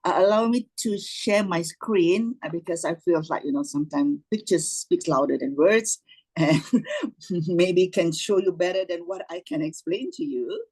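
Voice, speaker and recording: A middle-aged woman with a Malaysian accent and a husky voice, giving an informal online talk, often circling back and rephrasing. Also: on the low side, moderate pace, darkish tone, low-quality recording. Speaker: doctor and lecturer giving a talk. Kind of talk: informal online presentation, reminiscing. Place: online video meeting with screen share.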